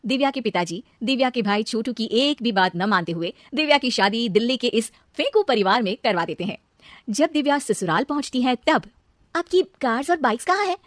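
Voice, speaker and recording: A woman's voice: on the high side, lively intonation, brisk, no room sound, clean studio sound. A woman narrator reading a scripted cartoon story.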